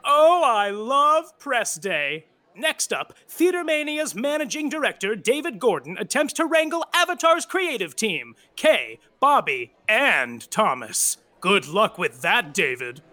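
A man's voice: extremely high pitch, big pitch swings, unhurried, bright, forceful tone, full character voice. A man doing a catty, arch gossip narrator. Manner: arch, teasing, theatrical.

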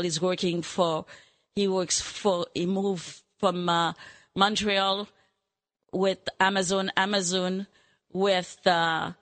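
A woman's voice: on the low side, fairly bright tone. Woman, emphatic, indignant, radio guest. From Haiti. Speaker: studio guest. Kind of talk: casual conversation.

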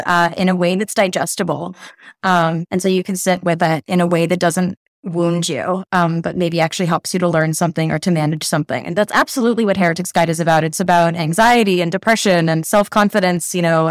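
A woman's voice: on the low side, no echo. Enthusiastic woman author, dry sound. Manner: enthusiastic, with frequent fillers. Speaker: author guest. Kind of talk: casual interview chat.

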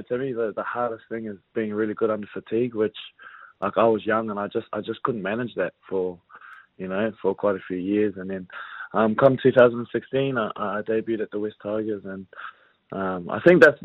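A young man from New Zealand, a rugby league player, chatting as a guest in a relaxed, halting way with many fillers. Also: on the low side, no echo. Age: young adult. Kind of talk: casual interview chat. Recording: dry, phone-like narrow sound.

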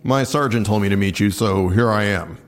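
A man's voice: on the low side, moderate pace, natural voice. An actor playing a guarded male patrol officer in a naturalistic audio drama, blunt, offhand and reluctant to talk. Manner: offhand, reluctant, plain-spoken.

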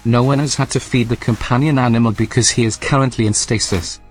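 A man voicing an unflappable, literal computer assistant in a deadpan, matter-of-fact way for an audio drama. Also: medium pitch, fairly quick, faint music behind, natural voice.

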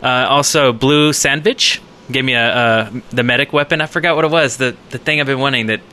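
Bright, clear-voiced man chatting about games. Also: medium pitch, a little fast. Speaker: podcast co-host. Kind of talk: casual gaming chat.

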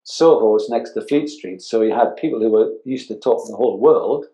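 An older man from northeast England with an English accent, talking casually as an interview guest, explaining haltingly and repeating words and phrases. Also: on the low side, warm tone. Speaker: interview guest, advertising art director. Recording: roomy, echoing sound.

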